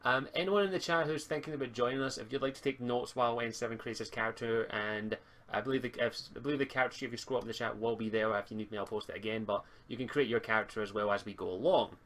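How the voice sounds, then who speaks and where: A man's voice: medium pitch, fast, fairly bright tone. A man acting as game master, explaining roleplaying rules to players on an online voice call. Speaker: game master and stream host. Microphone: voice call on a live stream.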